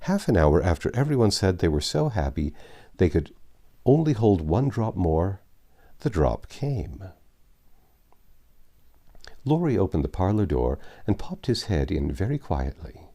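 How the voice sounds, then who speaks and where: Male narrator for a classic novel: very low, lively intonation, soft-edged mellow tone, measured storytelling. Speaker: audiobook narrator. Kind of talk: reading a classic novel aloud.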